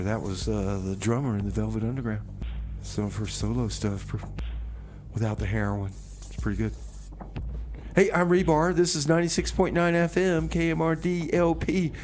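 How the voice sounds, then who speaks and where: Male community radio host, mid-range voice, casual and skeptical banter, a little background noise. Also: medium pitch.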